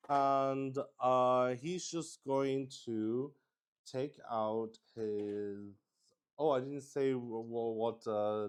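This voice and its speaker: A man with a clean, resonant voice narrates his solo tabletop game in a casual, improvised way. He takes his time, at a markedly slow pace, often halting to talk himself through each step. His pitch sits in an ordinary middle range for a man. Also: clean tone. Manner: halting, thinking aloud, talking to himself. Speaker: host and player of a solo tabletop roleplaying game.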